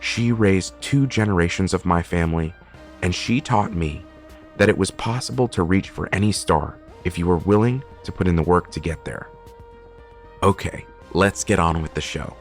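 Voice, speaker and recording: A man with a low voice delivers a scripted host introduction in an earnest, deliberate way. Faint music plays underneath. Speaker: host and adapter of the show.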